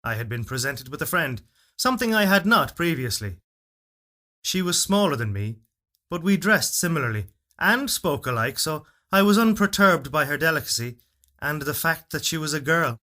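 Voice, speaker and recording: A man reading a literary fairytale as narrator, in a solemn, measured and expressive storytelling delivery. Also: a little high. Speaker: story reader. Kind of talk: dramatic literary narration of a first-person fairytale.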